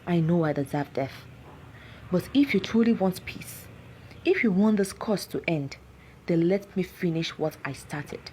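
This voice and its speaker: A woman's voice: on the low side, mellow, slight background noise, poor audio. A woman voicing an anguished, vengeful cursed village man in a folktale, grave, dramatic and pleading. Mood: resigned.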